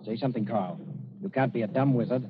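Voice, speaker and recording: An actor playing a cool-headed, dryly sardonic British governor in an old-time radio drama. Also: male voice, mid-range voice, quick pace, background noise, natural voice. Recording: muffled vintage radio recording.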